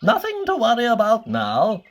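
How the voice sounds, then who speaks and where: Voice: mid-range voice, wildly swooping pitch, moderate pace, slight background noise, voice pushed up. A storyteller voicing a kindly, helpful wizard with a hesitant, bumbling manner in a children's story. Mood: helpful, reassuring. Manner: bumbling, starts with "uh".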